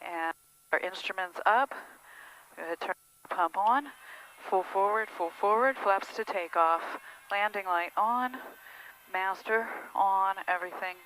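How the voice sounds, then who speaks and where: Lady pilot on headset, medium pitch, cockpit noise, talking thru flying and radio calls. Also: unhurried, fairly bright tone. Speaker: pilot, vlogger. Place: small propeller plane cockpit. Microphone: aviation headset. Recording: noisy small plane cockpit intercom audio.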